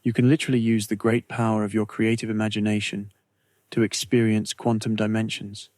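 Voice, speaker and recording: A man narrating a scripted guided meditation in a slow, measured, soothing way with long pauses. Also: fairly low pitch, level tone, darkish tone, no room sound.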